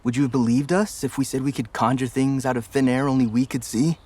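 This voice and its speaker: A man's voice: medium pitch, steady tone, a little fast, dark tone, husky, natural voice. A male actor playing a sheepish, earnest groom and young father in a motion comic, speaking hesitantly. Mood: defensive. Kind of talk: scripted cartoon dialogue.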